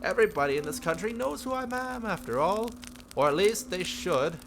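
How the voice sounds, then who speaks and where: A man's voice: high voice, animated, a little fast, a bit bright, slight background noise, voice pushed up. A man voicing a cheerful, sheltered young princess in a visual novel, with an airy, regal, slightly formal manner. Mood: proud, gracious. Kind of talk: visual novel character read-aloud.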